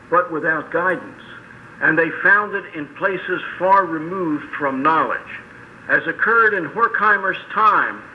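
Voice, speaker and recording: High-pitched older man on noisy shortwave radio. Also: dull audio. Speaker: academic speaker. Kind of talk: formal scripted academic lecture read aloud.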